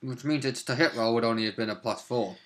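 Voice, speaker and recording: A man's voice: mid-range voice, fast, slight background noise. A man in casual chat about a tabletop game, interjecting comments in a wry, joking manner.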